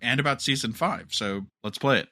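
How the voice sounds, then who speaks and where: A man podcast co-host with a bright, forward voice of average pitch. He chats casually in a very dry recording with no room sound. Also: fairly quick, dry recording. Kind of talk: casual chat.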